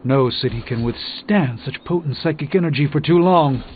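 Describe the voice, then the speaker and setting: An actor playing a middle-aged, erudite sorcerer mentor in a video game, speaking with formal, grandiose eloquence and dry wit. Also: male voice, fairly high pitch, moderate pace, narrow, tinny audio, a little hiss or hum, lightly acted. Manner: theatrical, eloquent, formal, wry. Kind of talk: video game character dialogue. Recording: game dialogue over prominent music.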